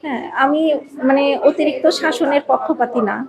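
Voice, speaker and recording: Give a woman's voice, notably high. She talks in a casual, personal interview style and is expressive, often repeating a phrase for emphasis. Voices of a crowd are clearly audible behind her. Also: brisk, muffled recording. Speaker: podcast guest, a mother.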